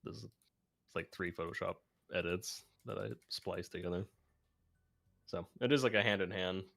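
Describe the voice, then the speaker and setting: A man's voice: deep voice, moderate pace, creaky, fairly bright tone, no room sound. A man talking informally and self-deprecatingly as he walks through his video editing on a casual livestream. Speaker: video editor and streamer.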